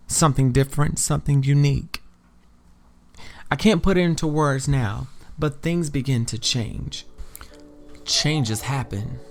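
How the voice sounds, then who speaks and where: A man narrating a scripted drama podcast in a dramatic storytelling style. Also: mid-range voice.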